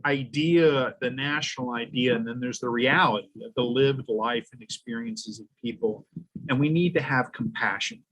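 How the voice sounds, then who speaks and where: Husky guy leading a book talk, blunt and emphatic. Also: medium pitch, normal speed, fairly bright tone, rough recording. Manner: frank, emphatic, asks "okay?". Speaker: translator and discussion leader. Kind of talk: discussion talk.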